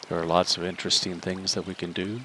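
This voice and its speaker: A man leading a guided meditation, giving slow, calm instructions with long pauses between them. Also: sits low, a little hiss or hum.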